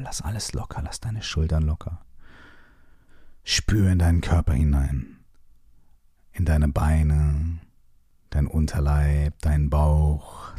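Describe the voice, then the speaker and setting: A man with a very deep, husky voice talks a listener through a body-shaking exercise. His instructions repeat in a soft, half-whispered way, casual enough to slip in a curse. The sound is dry and close, with no room around it. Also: no room sound. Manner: repetitive spoken instructions with casual swearing. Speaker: movement exercise guide. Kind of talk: guided shaking meditation.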